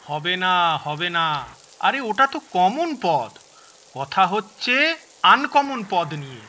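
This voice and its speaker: A man acting as a brisk quiz moderator at a family contest in an audio drama. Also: high-pitched, sing-song, pushed and bright, exaggerated voice.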